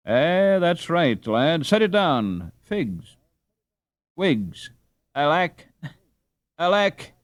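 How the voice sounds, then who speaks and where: A man voicing a blunt, excitable Dutch working father in a storybook, speaking emphatically in old-fashioned rustic phrases. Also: fairly high pitch, drawn-out words, pauses often, dry recording, clean studio sound, voice pushed up, heavily acted. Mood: dismayed.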